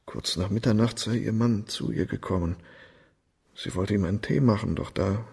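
An actor playing an accused doctor in a radio crime drama, formal, defensive and deferential. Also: male voice, lowish voice, normal speed, vocal fry, darkish tone, breathy edge, full character voice. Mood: humble, nervous. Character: accused doctor, male, pleading and humbly deferential.

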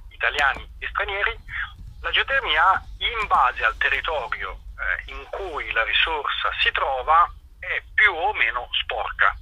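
A man being interviewed as an activist, explaining informally and haltingly, searching for his words. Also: mid-range voice, sharp tone, slightly rough, low-quality recording.

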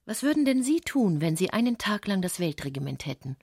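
An actress playing a composed society lady in a literary radio drama, with a measured, formal and coolly ironic delivery. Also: medium pitch, big pitch swings, darkish tone, slightly rough, dry recording, clean studio sound. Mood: curious.

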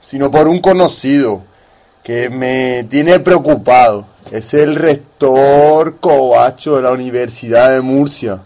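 A man's voice: medium pitch, slow pace, darkish tone. A man speaking colloquially and mock-earnestly as the patient in a satirical radio sketch. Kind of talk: slow, casual satirical sketch dialogue.

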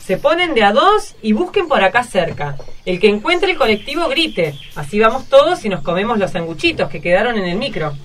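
A woman playing a calm, reassuring teacher in an amateur school radio play. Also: fairly high pitch, brisk, few pauses, a little hiss or hum. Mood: organising.

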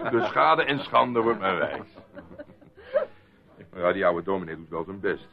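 An actor playing a jovial, teasing and sociable village innkeeper in a radio drama. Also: male voice, low voice, animated, unhurried, gravelly, full character voice. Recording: dull, narrow-band old radio play recording.

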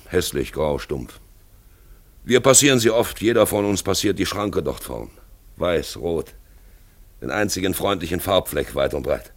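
Deep-voiced, dramatic 1950s radio narrator. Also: male voice, exaggerated voice. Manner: dramatic, rhetorical, addresses the listener directly. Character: eloquent male narrator guiding the listener through a drab town. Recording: 1950s German radio production.

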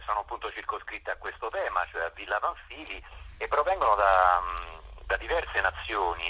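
A man speaks as a guest in an informal interview. His voice sits fairly low, with a husky grain and a very bright, forceful edge. He is enthusiastic and often halts to find the next word. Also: low-quality recording. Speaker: interview guest, spokesman for a park conservation association. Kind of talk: radio interview, informal explanation.

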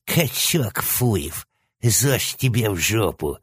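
A man's voice: lowish voice, very animated, slow pace, husky, no echo, clean studio sound, voice pushed up, exaggerated voice. A man voicing the cheeky, crude left head of a three-headed hellhound, with a nasal, sleazy junkie's whine. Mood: sneering. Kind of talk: audiobook character voice.

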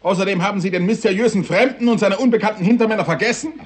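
A high-pitched male prime minister, voiced by an actor in 1960s radio drama style. He is proper and easily flustered. His speech is formal and admonishing, like a chairman calling a cabinet to order. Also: rapid-fire, lightly acted. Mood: shocked, outraged.

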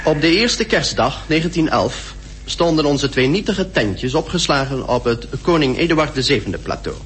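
A man's voice: a little high, animated, moderate pace, fairly bright tone. A man playing a polar explorer who recites his descriptive journal in a radio play. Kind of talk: radio play monologue, literary diary reading. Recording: radio play with faint hum.

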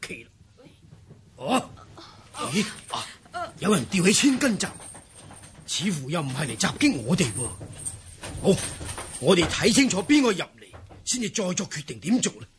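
An actor playing an older, loyal martial manor lord in a Cantonese radio drama, exclamatory and swooping in speech. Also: male voice, sits high, very animated, moderate pace, pauses often, background noise. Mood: alert, commanding.

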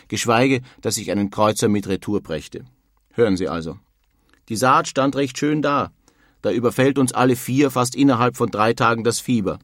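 A man's voice: medium pitch, no room sound, studio quality, natural voice. An actor playing a disillusioned 19th-century emigrant man, reading his letters home in formal, old-fashioned wording. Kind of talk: dramatic reading of historical letters.

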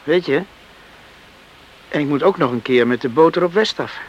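High-voiced earnest young farmhand played by a man. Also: moderate pace, highs cut off, slight background noise, close to an everyday voice. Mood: matter-of-fact, busy. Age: young adult. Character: hardworking young farm man in love, earnest, tender. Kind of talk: Dutch radio play acting.

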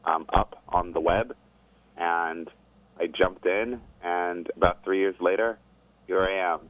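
A man who is an opera singer, chatting as a guest in a casual interview, thoughtful and rambling and quick to laugh. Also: deep voice, fairly even intonation. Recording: tinny phone line.

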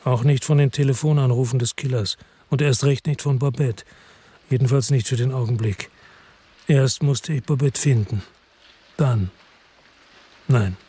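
A man's voice: medium pitch, fairly even intonation, measured pace, pauses often, mellow, slightly rough. An actor playing an embittered, defiant male loner in a radio crime drama, speaking in a terse, sardonic, evasive way. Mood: bitter, brooding. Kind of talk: radio crime drama with first-person narration.

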